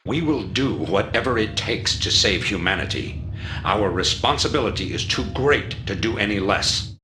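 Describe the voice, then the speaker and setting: An actor playing a calculating, authoritative middle-aged rebel leader in a sci-fi game, speaking in measured oratory. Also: male voice, on the low side, animated, background noise, close to an everyday voice. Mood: stern, resolute. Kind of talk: dramatic sci-fi game voice acting.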